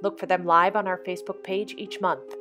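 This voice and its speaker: A woman's voice: medium pitch, flat delivery, brisk. A woman announcer reading scripted credits and announcements at the end of a broadcast. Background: theme music.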